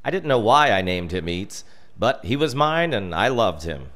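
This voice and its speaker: American guy reading a story aloud, deepish voice, really animated and theatrical, big pauses. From United States. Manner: theatrical, expressive delivery with dramatic pauses. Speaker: storyteller reading his comic family memoir. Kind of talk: scripted storytelling narration.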